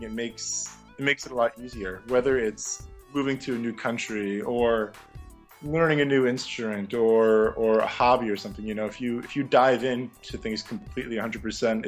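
Reflective young man with frequent fillers. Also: mid-range voice. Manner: reflective, many fillers and restarts, laughs lightly. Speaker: interview guest, former music teacher. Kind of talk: casual conversational interview.

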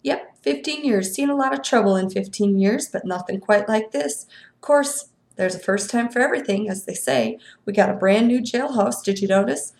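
A woman's voice: mid-range voice, moderate pace, darkish tone, roomy sound, lightly acted. A woman voicing a fat, sleepy male constable who wheezes and grumbles in a folksy rural drawl for a storybook reading. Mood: chatty. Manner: grumbling, long-winded, suspicious.

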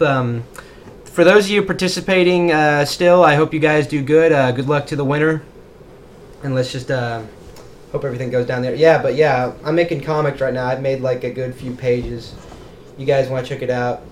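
A man's voice: mid-range voice, brisk, a little hiss or hum. A young man co-hosting a casual podcast, rambling and joking about himself as he talks. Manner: rambling, self-deprecating, jokey. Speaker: podcast co-host. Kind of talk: casual chat.

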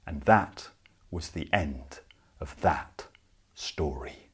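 A man telling a children's story as an oral storyteller, in measured, dramatic cadences with deliberate pauses. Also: rumbling low, slightly rough.

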